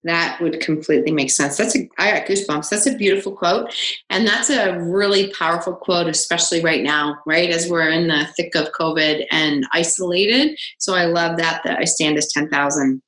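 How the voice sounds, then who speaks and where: A woman's voice: fairly low pitch, normal speed, a bit bright. A woman hosting a podcast interview, asking questions and praising answers enthusiastically. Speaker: podcast host. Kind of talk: casual interview. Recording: echoey room.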